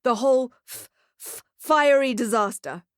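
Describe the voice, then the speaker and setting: A woman's voice: sits high, a little slow, clear, resonant tone, no echo, studio quality, voice pushed up. A woman voicing an indignant male boss with emphatic, colourful exclamations in an audiobook story. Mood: dark indignation.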